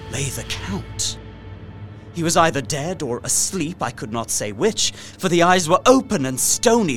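An actor playing a desperate, haunted young Victorian gentleman trapped in a castle, narrating his journal with intense, deliberate, formal delivery in a gothic audio drama. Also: medium pitch. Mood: hushed dread. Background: music.

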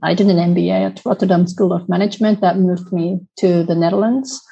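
A woman from Japan whose first language is Japanese, answering interview questions in a hesitant way with many pauses and restarts. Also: on the low side, fairly even intonation, gravelly, dark tone, slightly rough, muffled recording. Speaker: podcast guest.

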